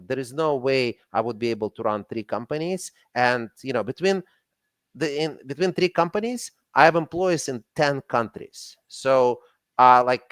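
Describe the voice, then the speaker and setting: A man with a Russian accent talks as a guest on a business podcast. He is animated and repeats words when excited. The sound is dry and close, with no room around it. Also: mid-range voice, smooth, no room sound. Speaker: guest, company CEO. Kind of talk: casual podcast conversation.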